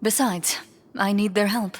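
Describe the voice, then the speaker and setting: A woman voicing a composed, protective, quietly wry duelist in a video game, speaking in a calm, measured way. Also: mid-range voice, lightly acted. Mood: calm, assured.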